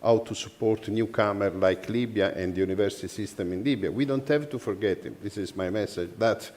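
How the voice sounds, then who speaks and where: A man's voice: lowish voice, brisk, darkish tone. A man with an Italian accent speaking earnestly as a panelist in a forum discussion. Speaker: panelist, university network representative.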